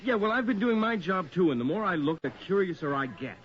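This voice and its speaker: Wry, lively newspaper reporter. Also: male voice, sits high, fairly quick. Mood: wry insistence. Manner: wry, conversational, needling. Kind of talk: 1980s radio drama acting, one side of a phone call. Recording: muffled, slightly noisy radio recording.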